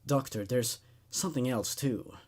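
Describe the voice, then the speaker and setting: A man voicing a haunted, sleepless male patient who speaks in a halting, anxious way in a horror story. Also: mid-range voice, darkish tone, lightly acted. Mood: fearful.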